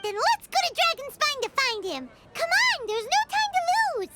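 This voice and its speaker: A woman voicing a tiny, chirpy and pushy female fairy companion with a squeaky voice, in a game cutscene. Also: sing-song, moderate pace, heavy background noise. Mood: eager. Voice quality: squeaky, refers to herself in third person. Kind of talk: video game cutscene dialogue. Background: game music.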